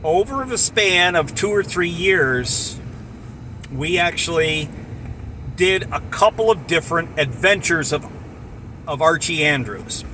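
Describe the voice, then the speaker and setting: A middle-aged man casually sharing personal memories in a reflective, halting way, in short phrases. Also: a little high, lively intonation, bright, forceful tone, a little hiss or hum, rough recording. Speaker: storyteller, amateur voice actor.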